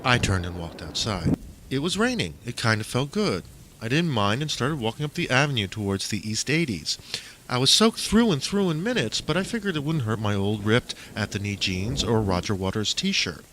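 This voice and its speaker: A man's voice: mid-range voice, very animated, moderate pace, noisy background. A man reading his own stories as a dramatic first-person audiobook narration, with a theatrical manner, on a homemade recording.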